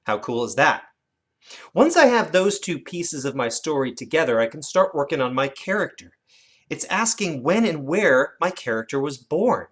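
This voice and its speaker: A teacher giving an instructional lesson for children, with animated, expressive storytelling emphasis. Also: medium pitch, normal speed, breathy edge. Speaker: elementary teacher.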